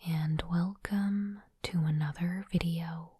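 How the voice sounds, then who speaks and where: A woman whispering in a breathy, unvoiced voice, slow and deliberate, in the style of an ASMR host. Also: on the low side, fairly even intonation, no echo, clean studio sound. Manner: slow, deliberate, softly reading aloud. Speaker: host of an ASMR unboxing channel.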